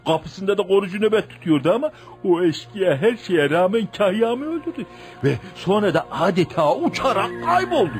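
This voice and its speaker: An actor playing a blustering, tyrannical but fearful rural landlord in a Turkish radio play, with theatrical delivery. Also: male voice, sits high, very animated, moderate pace. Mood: anxious indignant. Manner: theatrical, blustering, hesitant when cornered. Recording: faint music behind radio drama.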